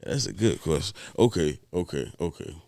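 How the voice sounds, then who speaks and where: A man's voice: low-pitched, lively intonation, normal speed, warm tone, dry recording, studio quality. A man in his forties from Orlando, chatting casually as a DJ guest and thinking aloud with restarts. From Orlando, Florida. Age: 40s. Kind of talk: casual interview chat.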